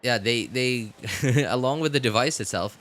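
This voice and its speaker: A man's voice: mid-range voice, moderate pace, fairly bright tone. A man chatting casually as a game streamer, rambling and thinking aloud to his viewers. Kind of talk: casual rambling livestream chat.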